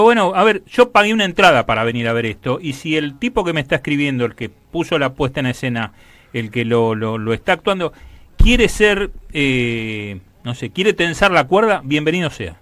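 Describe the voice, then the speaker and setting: A man's voice: a little high, fairly bright tone, no room sound. A man with an Argentine accent hosting a radio interview in a casual, attentive, conversational way. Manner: attentive, frequent short acknowledgements.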